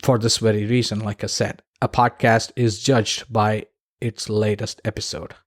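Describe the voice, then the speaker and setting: A man's voice: fairly low pitch, level tone, normal speed, no room sound, studio quality. A man hosting a solo podcast, explaining practical advice in a conversational way and repeating points for emphasis. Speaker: podcast host.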